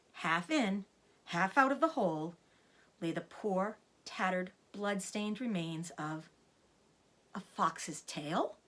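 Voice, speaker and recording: A woman's voice: on the low side, fairly bright tone. A woman reading a children's story aloud like a teacher, with dramatic, suspenseful narration.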